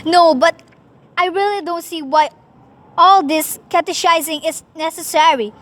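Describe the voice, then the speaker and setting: A woman's voice: very high voice, unhurried, a bit bright. A woman acting in a radio play as a nervous, demanding invalid wife, alone and frightened, speaking with urgency. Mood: agitated, demanding. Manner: nervous, imperious, breathless urgency.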